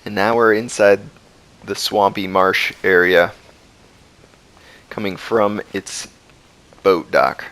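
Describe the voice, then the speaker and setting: A man's voice: lowish voice, steady tone, slow pace, pauses often, fairly bright tone, poor audio. A man narrating a video game walkthrough as a guide. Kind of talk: walkthrough commentary.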